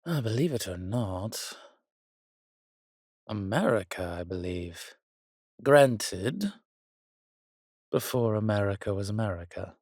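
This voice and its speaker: Man acting a slow, husky, roguish old vampire. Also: lowish voice, lively intonation, a little mellow, no room sound, clean studio sound, exaggerated voice. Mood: wry, weary. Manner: theatrical, archaic turns of phrase, teasing. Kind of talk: intimate roleplay audio drama.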